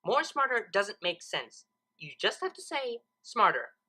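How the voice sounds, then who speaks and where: A woman's voice: mid-range voice, very animated, unhurried, bright, forceful tone, slightly rough, voice pushed up, full character voice. A woman voicing a smug, bookish female pony who corrects others primly in a story reading.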